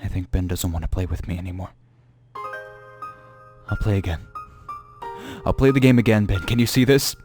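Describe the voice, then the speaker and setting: A man's voice: low-pitched, normal speed, pauses often, close to an everyday voice. A man playing a paranoid, frightened young man in a creepypasta dramatic reading, telling his diary with anguished drama. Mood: pleading. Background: music.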